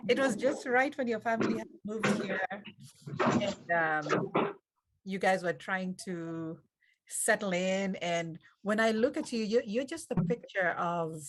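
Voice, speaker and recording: A woman with a Kenyan accent hosting an online panel, speaking in an encouraging, unhurried way with fillers, over a video call. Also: mid-range voice, low-quality recording. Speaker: event host. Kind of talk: warm panel moderation.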